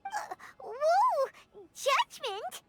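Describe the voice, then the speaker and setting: A woman voicing a small, childlike fairy companion in a video game, squeaky, chatty, excitable and easily flustered. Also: extremely slow, very noisy, heavily acted. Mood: nervous. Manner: exclaiming, refers to self in third person.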